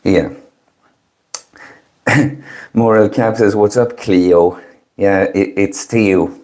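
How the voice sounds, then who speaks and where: A man in his early thirties hosting a casual livestream, chatting with viewers in a chill, mellow, low-key manner. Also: fairly even intonation, very slow. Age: early 30s. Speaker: livestream host. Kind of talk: casual chat with live stream viewers.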